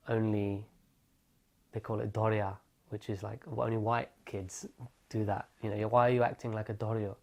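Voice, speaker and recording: A young man from England recounting his childhood in an oral history interview, thoughtful and reflective, often pausing to correct himself. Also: lowish voice, vocal fry, darkish tone.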